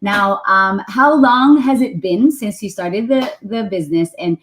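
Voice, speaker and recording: A woman's voice: medium pitch, unhurried, a bit bright, a little hiss or hum. A woman hosting a live online panel, upbeat as she introduces her guests. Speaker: host.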